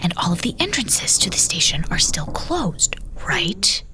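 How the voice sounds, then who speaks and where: A woman playing a firm, rational and tense station commander in a science fiction audio drama, with a controlled, authoritative, hushed delivery. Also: lowish voice, sing-song, unhurried, whispered.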